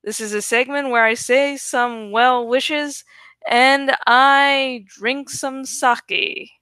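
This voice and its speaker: Slow-talking bright-voiced woman host. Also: high-pitched, fairly even intonation, clear, resonant tone, no room sound. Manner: casual, swears freely, laughs between remarks. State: not feeling fully well. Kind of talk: casual chat to camera.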